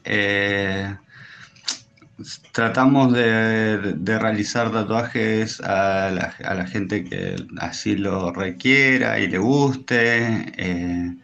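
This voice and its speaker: A man answering informally as an interview guest, explaining his work with pauses. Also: on the low side, vocal fry, fairly bright tone, highs cut off. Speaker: interview guest, tattoo artist.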